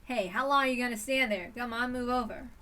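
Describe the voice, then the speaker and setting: Woman playing confident mother, brash, needling, matter-of-fact, storybook dialogue, higher voice, distant microphone. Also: a little fast, sharp tone, soft background music. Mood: brisk, teasing.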